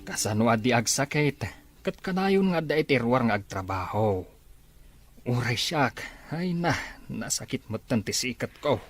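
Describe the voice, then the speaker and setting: A man's voice: mid-range voice, lively intonation, moderate pace, soft background music, close to an everyday voice. A middle-aged man with an Ilocano accent playing a stern, weary farmer father in an amateur family drama, scolding in exasperation.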